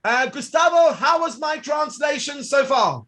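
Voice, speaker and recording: Guy running an online teacher workshop, super high voice, bright and chirpy, hypes up each demo step. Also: expressive, a little slow. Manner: upbeat, showman-like, talks to audience. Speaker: workshop presenter. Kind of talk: live online software demo.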